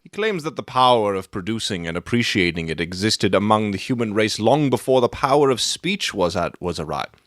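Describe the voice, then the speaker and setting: A man's voice: on the low side, lively intonation, a little fast, a bit bright, no room sound. A man performer in a studio, bantering casually and retaking scripted lines. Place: recording studio.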